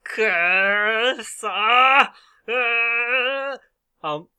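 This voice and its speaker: An actor playing a theatrical game master in a radio drama, with a pompous announcer-like delivery. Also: male voice, very high voice, drawn-out words, sharp tone, smooth, exaggerated voice. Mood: whimpering, reluctant. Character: theatrical male game master running a punishment eating contest.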